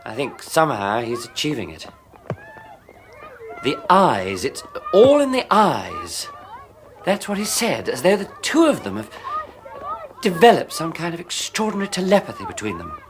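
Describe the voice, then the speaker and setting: An actress playing the troubled wife of an obsessed scientist in a radio drama, speaking in dramatic broken phrases with long pauses. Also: female voice, sits low, wildly swooping pitch, background noise. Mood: uneasy wonder.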